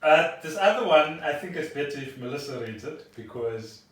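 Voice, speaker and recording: A man's voice: fairly high pitch, expressive, moderate pace, a bit bright. A man with a South African accent chatting casually as co-host of a podcast recorded at home. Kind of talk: casual chat. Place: room at home. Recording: echoey room, far from the mic.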